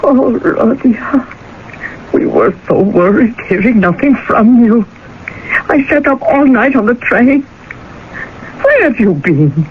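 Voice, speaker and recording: A woman's voice: fairly high pitch, animated, slow pace, long pauses. An actress playing an anxious, sorrowful middle-aged mother in a radio drama, fretful and plaintive toward her grown son. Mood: worried, tender. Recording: muffled vintage radio recording, faint noise.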